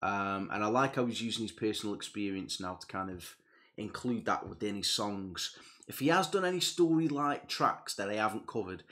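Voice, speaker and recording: A man's voice: on the low side, lively intonation, moderate pace, bright, forceful tone. A young man hosting a music reaction video, chatting casually and enthusiastically, turning earnest on serious points, speaking into a headset in a small room. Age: young adult. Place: small indoor room with gaming chair and purple lights.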